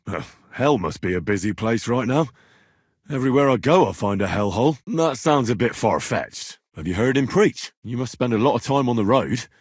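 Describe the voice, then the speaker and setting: A young British blacksmith's son in a medieval role-playing game. His voice is husky and very lively in its rise and fall, with an earnest, curious, plain-spoken manner. The sound is dry and close. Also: mid-range voice, no room sound. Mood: curious, conversational. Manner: plain-spoken, inquisitive, informal. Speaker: video game voice actor. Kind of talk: game dialogue lines.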